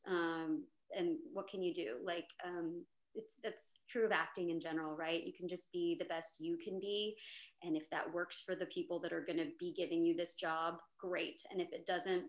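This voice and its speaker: A woman actor in her thirties telling a story on a video-call panel, animated and chatty, with many fillers and restarts. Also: fairly low pitch. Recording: roomy echo.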